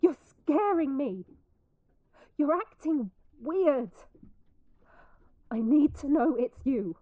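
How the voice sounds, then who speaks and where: High-voiced, frightened mother. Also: big pitch swings, slow, narrow, tinny audio, full character voice. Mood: wary, scared. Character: frightened, suspicious mother in a post-apocalypse. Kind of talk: audio drama acting.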